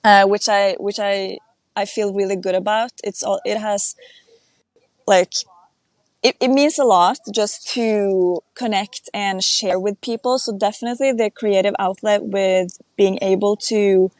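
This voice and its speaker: A woman's voice: medium pitch, steady tone, measured pace, darkish tone, dry recording. A young woman from Norway, a podcast guest, speaking in a thoughtful and hesitant way with many restarts. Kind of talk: casual interview chat.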